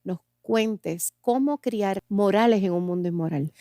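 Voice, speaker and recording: A woman's voice: medium pitch, unhurried, no echo, clean studio sound. A woman hosting an interview, introducing her guest and asking questions, and affirming her guest's answers throughout. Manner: warm, affirming, frequent "ujú" backchannels. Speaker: host.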